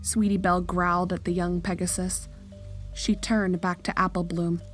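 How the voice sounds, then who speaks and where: A woman's voice: lowish voice, flat delivery, normal speed, faint music behind. A woman narrator reading a scripted horror story in a storytelling style.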